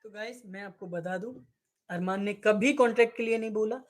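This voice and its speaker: A woman giving an unscripted, somewhat halting explanation to her viewers, recounting what others said. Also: medium pitch.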